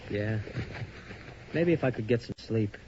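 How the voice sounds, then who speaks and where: A man's voice: lowish voice, lively intonation, a little slow, noisy background. A young actor playing an uneasy male college student who stammers and grows frantic in a radio drama. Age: young adult. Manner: hesitant, stammering, growing frantic. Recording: dull old radio broadcast.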